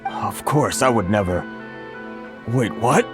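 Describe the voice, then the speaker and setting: An actor playing an anxious, downcast merchant in a video game, flustered and apologetic. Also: male voice, on the low side, lively intonation, takes it slowly. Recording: music under the voice.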